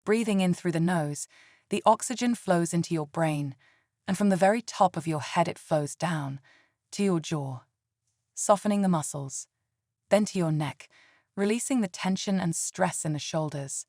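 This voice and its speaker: A woman leading a scripted guided meditation in a calm, soothing and gentle way. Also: on the low side, normal speed, no room sound, studio quality.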